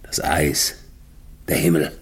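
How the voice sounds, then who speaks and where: An actor in a German radio play voicing a tormented, dying polar expedition leader who talks haltingly to himself, husky and gravelly. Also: male voice, in a whisper, lightly acted. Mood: weary, haunted. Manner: halting, repeats words, swings between murmurs and desperate calls.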